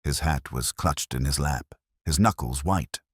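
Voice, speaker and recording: A man's voice: rumbling low, unhurried, slightly rough, no room sound, studio quality. A man reading a scripted mystery story as an audiobook narrator.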